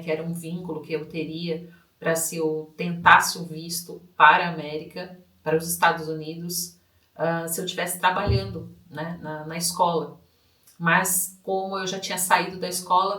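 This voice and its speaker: Bright-voiced woman in an echoey room. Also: fairly low pitch. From Brazil, São Paulo state. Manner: warm, chatty, leans on tag questions. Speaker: podcast co-host. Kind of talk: casual conversational storytelling. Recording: echoey room, mic at a distance.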